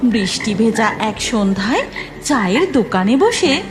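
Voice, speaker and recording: A woman narrating a cartoon folk tale in an expressive, scripted storytelling style. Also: fairly high pitch, measured pace.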